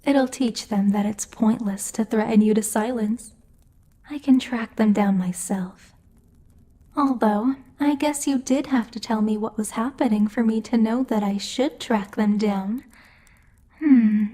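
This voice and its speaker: A woman playing a commanding demon lord in an ASMR roleplay, speaking in a measured, imperious and teasing way, like a strict but protective boss. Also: a little high, mellow. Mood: composed, wry.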